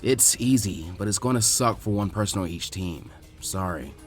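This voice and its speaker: A man voicing a laid-back, calm and apologetic male ninja proctor in an anime story reading. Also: faint music behind, natural voice. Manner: calm, unhurried, low-key.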